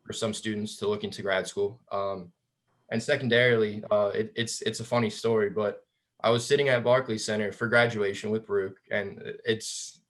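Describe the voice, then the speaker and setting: A man's voice: lowish voice, fairly even intonation, normal speed. A man answering on a webinar panel as an alumnus, giving upbeat advice and often restarting his words.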